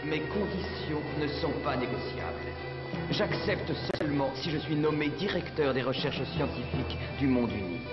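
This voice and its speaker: Man playing a firm, higher-voiced cartoon scientist. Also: animated, normal speed, close to an everyday voice. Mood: defiant. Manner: firm, defiant, earnest. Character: proud, stubborn male scientist, protective brother. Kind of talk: French cartoon dub acting. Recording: dull lo-fi TV audio under loud music.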